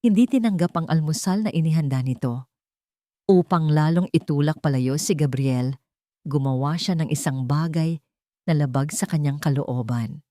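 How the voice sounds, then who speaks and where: Female narrator, Filipino accent. Dark, soft-edged low tone, dry sound, scripted dramatic storytelling. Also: moderate pace, no echo.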